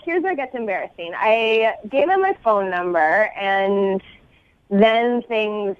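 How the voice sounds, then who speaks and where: A woman's voice: mid-range voice, lively intonation, takes it slowly, clean tone, dry recording. A young woman phoning in, chatting casually in a girlish, filler-heavy way. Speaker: phone-in caller. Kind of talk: casual chat. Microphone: phone. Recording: dull, lo-fi phone line.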